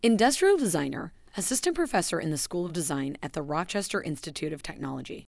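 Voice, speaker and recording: A woman with a low, deep voice reads out a formal announcement. Her delivery is measured and even. The recording is dry, with no room sound. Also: gravelly, no echo. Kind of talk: scripted narration.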